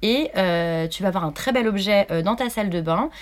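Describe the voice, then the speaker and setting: A woman's voice: mid-range voice, fairly quick. A woman in her thirties, a marketing lead explaining a product in a casual interview, with frequent fillers.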